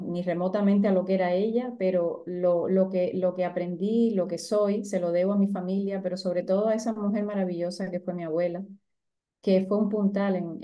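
A middle-aged woman with a softened Cuban accent, speaking reflectively as a guest in an interview over a video call. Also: fairly low pitch, muffled recording. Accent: Cuban, softened by years abroad. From Cuba. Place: indoor room at home, on a video call.

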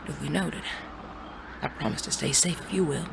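Someone acting a refined polite gentleman outlaw, tender, western audio drama, noisy ambience behind. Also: medium pitch, normal speed. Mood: gentle, wry. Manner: polite, formal, refined wording. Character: genteel, tender gentleman bandit of the Old West. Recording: clear background ambience noise.

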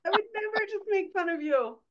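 A woman's voice: very high voice, animated, fairly quick, heavy background noise. Woman, forties, podcast co-host, casual teasing banter, slightly dull video-call audio. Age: 40s. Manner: teasing, playful. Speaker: podcast co-host, writing coach.

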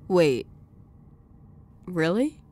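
A woman's voice: on the low side, sing-song, very slow, darkish tone, full character voice. A woman playing a curious, amazed listener to a space tale in a science fiction audio drama.

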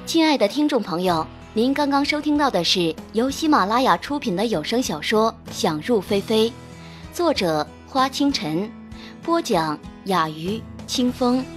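A woman's voice: fairly high pitch, animated, a little slow, soft background music. A woman narrating a novel in a scripted, dramatic audiobook style.

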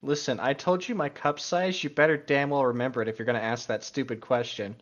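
A man's voice: mid-range voice, steady tone, fairly quick. A man with a mid-range voice chats casually as a co-host, tossing out wisecracks and swearing. The recording is a little dull and lo-fi. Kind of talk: casual joking chat.

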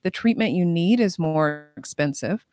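Woman guest, thoughtful and slow to pick words, dry close mic. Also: on the low side, animated, a little mellow, dry recording. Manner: thoughtful, deliberate. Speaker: clinic guest. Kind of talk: panel podcast discussion.

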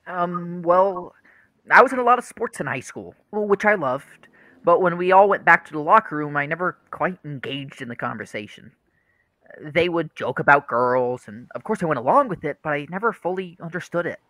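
A man's voice: a little high, animated, moderate pace, pushed and bright, no room sound. An actor playing an earnest, troubled young man in a radio play, hesitant and confessional. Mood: confessional, embarrassed.

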